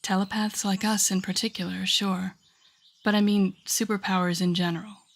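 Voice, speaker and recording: A woman's voice: mid-range voice, little pitch movement, moderate pace, creaky. A woman playing a wry, teasing telepath villain who mentors a rookie hero, in an intimate, conversational audio roleplay. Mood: musing, wry. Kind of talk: intimate second-person audio roleplay acting.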